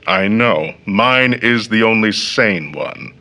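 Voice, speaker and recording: Slow-talking, domineering suitor, animated pitch. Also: male voice, exaggerated voice. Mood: patronizing, persuasive. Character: confident, domineering suitor, older man courting a woman. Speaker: actor in an audio drama. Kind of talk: scripted audio drama acting.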